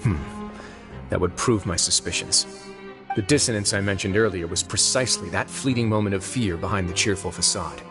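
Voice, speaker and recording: A composed duke in a fantasy game, his voice lowish and steady. He talks calmly with a wry, measured edge. Game music sits clearly under him. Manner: calm, dry, measured, wry. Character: composed male duke and prison warden. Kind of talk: game voice acting.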